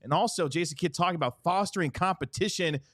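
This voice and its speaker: A man's voice: on the high side, fast talker, fairly bright tone. A man in his thirties hosting a sports podcast, delivering an upbeat show introduction and banter. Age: 30s. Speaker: podcast host.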